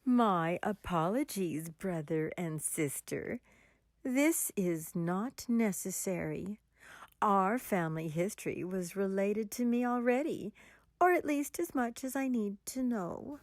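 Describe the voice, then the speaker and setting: A woman's voice: mid-range voice, takes it slowly, no room sound, clean studio sound, natural voice. A woman playing Ellen, taunting vengeful witch. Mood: cold. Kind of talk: radio drama acting.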